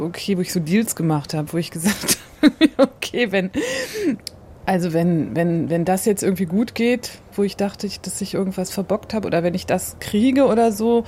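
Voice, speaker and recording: A woman casually recalling personal memories, halting and often correcting herself. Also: on the low side, a little mellow, a little hiss or hum. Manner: halting, self-correcting, filler-heavy, chuckling now and then.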